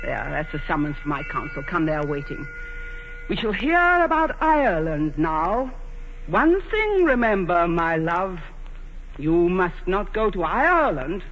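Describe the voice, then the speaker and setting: A woman's voice: fairly low pitch, sing-song, unhurried, a bit bright, a little hiss or hum. A proud aging queen, vintage radio. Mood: affectionate. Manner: stately, theatrical, commanding. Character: proud, aging English queen, imperious yet vulnerable in love. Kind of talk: 1940s radio theatre acting. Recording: muffled vintage 1940s radio broadcast.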